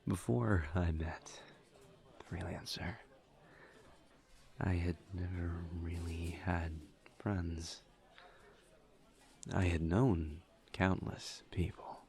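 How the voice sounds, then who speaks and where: A man playing a gentle, guarded incubus in an intimate audio roleplay, murmuring breathily and haltingly with careful pauses. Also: fairly even intonation, exaggerated voice. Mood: guarded, confiding. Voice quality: breathy, creaky, low murmur. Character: gentle, guarded male incubus demon, earnest and reserved. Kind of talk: intimate roleplay audio drama, partly whispered.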